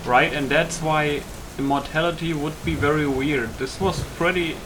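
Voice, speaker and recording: A man's voice: a little high, fairly even intonation, moderate pace, background noise. A man giving casual, unscripted commentary while he plays a video game, muttering reactions to himself. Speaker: gaming YouTuber playing and commenting.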